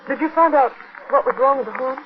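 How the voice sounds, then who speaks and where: A woman acts out an anxious, torn young wife. Her voice is very high, with an earnest and hesitant delivery. The recording is a dull vintage 1940s radio broadcast. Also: quick pace, close to an everyday voice. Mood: uneasy. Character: anxious, conflicted young wife in a love triangle. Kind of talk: 1940s radio drama acting.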